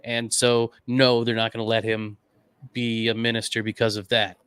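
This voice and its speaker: A man's voice: mid-range voice, level tone, normal speed, fairly bright tone, clear, resonant tone, no room sound, studio quality. A man co-hosting a podcast, telling a history story in a casual, conversational way. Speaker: podcast co-host.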